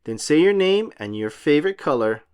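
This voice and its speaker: Picture-book teacher, done by a narrator: woman, kindly, instructive, animated delivery. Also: medium pitch, moderate pace, clear, resonant tone, close to an everyday voice. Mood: welcoming. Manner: welcoming, instructive. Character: kindly, brisk woman kindergarten teacher in a storybook.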